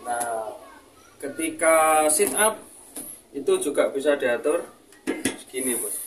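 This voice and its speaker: A man's voice: mid-range voice, expressive, takes it slowly. A young man giving an informal, casual product demonstration to viewers. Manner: informal, addresses viewer as boss. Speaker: seller presenting a product review. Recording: noisy home video with clicks.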